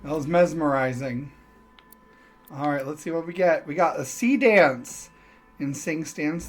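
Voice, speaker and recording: A man giving casual, self-deprecating and hesitant commentary as he streams a game. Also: mid-range voice.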